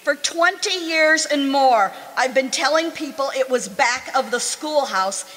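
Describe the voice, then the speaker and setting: Woman playing sensible long-married wife, affectionate scolding, high-pitched, old-time radio comedy, hall reverb. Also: lively intonation, measured pace, fairly bright tone. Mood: exasperated. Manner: affectionate, chiding. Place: live stage show in a community hall. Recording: reverberant hall stage performance.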